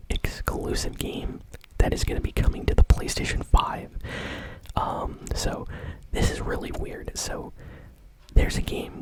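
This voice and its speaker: A woman's voice: extremely high pitch, low-quality recording. A woman hosting gaming news in ASMR style, whispering in a casual, conversational manner. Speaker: host of a gaming news show.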